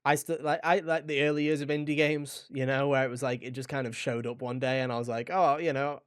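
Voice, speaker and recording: A man's voice: medium pitch, steady tone, a little fast, clean tone. A man hosting a podcast in a casual, informal chat about video games, rambling a little and addressing listeners directly. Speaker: podcast host.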